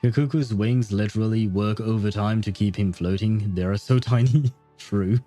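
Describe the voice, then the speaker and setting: A man's voice: on the low side, normal speed, warm tone, faint music behind. A man streaming a game, reading chat and chatting in a rambling, hesitant way with many fillers and frequent laughs. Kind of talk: livestream gameplay commentary, reading chat.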